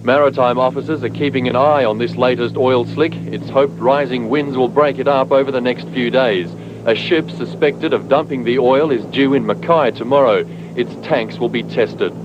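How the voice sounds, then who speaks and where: A man reports a news story in a plain, conversational tone. The recording is muffled old broadcast tape, with a clear hiss running beneath him. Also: medium pitch, moderate pace. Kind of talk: scripted news report voice-over.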